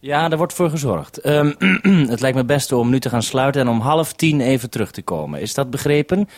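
A man working as a radio presenter, holding a two-way radio conversation in a measured, matter-of-fact, slightly hesitant way. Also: medium pitch, lively intonation, no room sound, clean studio sound. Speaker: radio presenter and contact operator. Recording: 1971 radio broadcast.

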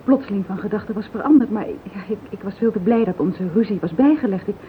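Through a tinny radio recording with faint noise comes a timid, anguished widow played by an actress in a radio play. She has a Netherlands Dutch accent. She speaks haltingly, repeating words as she pleads to be understood. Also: medium pitch, lightly acted. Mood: subdued, explaining. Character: fragile, lonely widowed woman, timid and anguished. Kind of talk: radio drama acting.

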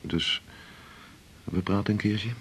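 A man's voice: fairly low pitch, fairly even intonation, slow, fairly bright tone, a little hiss or hum. An actor playing a cynical, soured male doctor in a radio drama, with dry, blunt, clipped delivery. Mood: dry, businesslike. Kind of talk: 1980s Dutch radio drama acting.

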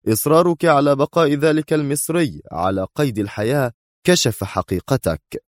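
A sneering male spy as voiced by a man narrating in a thriller audiobook. He is tense and forceful, with a mocking, accusing edge. The recording is close and dry. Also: sits low, expressive, a little fast, no room sound. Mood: angry, accusing.

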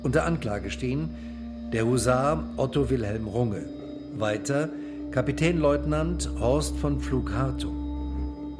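A male narrator with a medium-pitched voice delivers a scripted, documentary-like report. His reading is formal, factual and precise. Soft music sits behind him. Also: expressive, takes it slowly.

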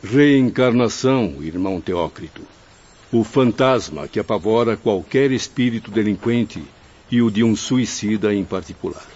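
An actor playing a fearful, learned professor, now a troubled spirit, in a radio drama. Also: male voice, on the low side, a little slow, a little mellow, breathy edge. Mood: brooding dread. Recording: dull, muffled radio drama recording.

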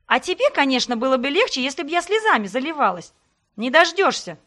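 A woman voicing a hot-tempered, sharp-tongued young heroine who shouts in indignant exasperation. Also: on the high side, animated, brisk. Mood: furious.

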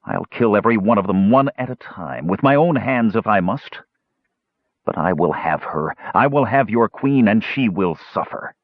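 A man's voice: lowish voice, lively intonation, normal speed, no echo, narrow, tinny audio. A man voicing a cruel, vengeful male dwarf sorcerer who speaks in a cold, clipped, threatening way. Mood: menacing.

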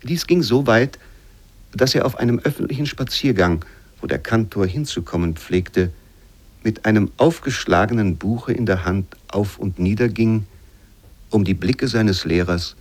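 Man, medium pitch, literary radio narration, measured, formal, expressive recitation. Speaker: narrator reading literary prose.

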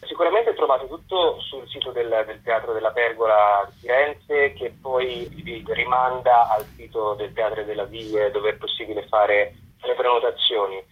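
Man, interview guest, husky tone, conversational, practical explanations, muffled telephone sound. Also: mid-range voice, normal speed. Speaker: interview guest, theatre representative.

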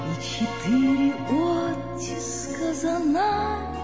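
Very slow, echoey lyrical woman singer. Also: extremely high pitch, lively intonation. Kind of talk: sung poetic song, slow and lyrical. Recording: echoey, with loud music. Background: loud musical accompaniment.

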